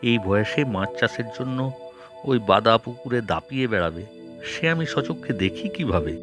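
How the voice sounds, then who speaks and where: Cartoon casting: male actor as anxious grown son, deep and very expressive, pleading, music underneath. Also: normal speed, lightly acted. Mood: pleading, dejected. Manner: pleading, fretful, protesting. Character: anxious, devoted adult son fretting over his old father. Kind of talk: animated cartoon dialogue. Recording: music behind the voice.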